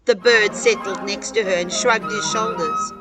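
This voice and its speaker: A woman narrating a scripted children's story in a dramatic, expressive storytelling manner. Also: medium pitch, moderate pace. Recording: music behind the voice.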